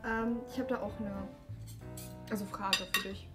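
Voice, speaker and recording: A woman's voice: medium pitch, steady tone, normal speed, sharp tone. A young woman in casual, improvised chat at a staged dinner. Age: young adult. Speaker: participant in a staged date. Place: indoor studio set at a dinner table. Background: music.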